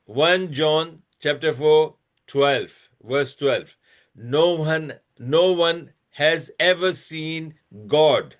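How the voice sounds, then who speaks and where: A man with a fairly high voice talks slowly and deliberately, presenting references one by one on his own channel. He restarts often and leans on fillers. When he speaks to critics he turns blunt and scolding. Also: a bit bright. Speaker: channel host presenting a religious comparison. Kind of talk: lecture-style presentation reading out references.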